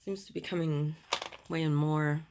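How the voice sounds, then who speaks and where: A middle-aged woman with a raspy voice, chatting candidly while doing her makeup, in a slow, rambling manner. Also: deep voice, steady tone, background noise. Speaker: beauty vlogger.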